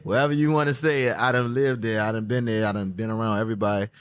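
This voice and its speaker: A young man with a D.C.-area accent answers interview questions in a laid-back, slangy way. His voice sits very low. The sound is dry and close, with almost no room. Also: steady tone, fast talker, smooth, no room sound. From Washington, D.C. area. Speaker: professional boxer, interview guest. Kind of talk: casual interview talk.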